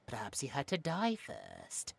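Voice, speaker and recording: A man's voice: mid-range voice, huge pitch swings, gravelly, slightly rough, dry recording, exaggerated voice. A British man playing a smug, cruel mad scientist in a horror audio roleplay, with a mocking, snobbish manner. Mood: sinister, gloating. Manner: mocking, snobbish, drawn-out musing.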